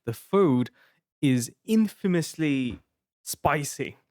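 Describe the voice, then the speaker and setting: A man's voice: fairly high pitch, animated, takes it slowly, a little mellow, no room sound, clean studio sound. A man in his thirties with a Taiwanese accent, co-hosting a podcast and telling a long casual story with many restarts and fillers. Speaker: podcast co-host.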